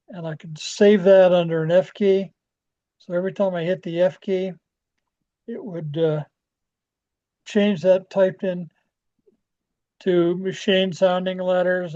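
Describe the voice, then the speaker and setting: An American man giving an informal software walkthrough as a club trainer, halting and often trailing off as he rambles along. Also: sits high. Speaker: presenter training radio club members. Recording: dull, lo-fi screen-share audio.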